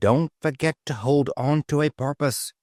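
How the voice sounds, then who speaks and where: A man's voice: a little high, fairly even intonation, normal speed, darkish tone, no echo, studio quality, pitched above the performer's own voice, close to an everyday voice. A man reading aloud, voicing an earnest young boy who speaks in a matter-of-fact way.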